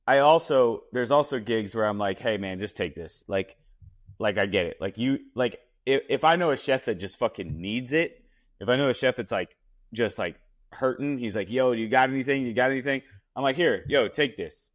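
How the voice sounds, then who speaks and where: A man's voice: mid-range voice, normal speed. A man hosting a podcast, a chef talking in a blunt, casual way that is full of swearing and repeated words. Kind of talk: casual chat. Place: Los Angeles.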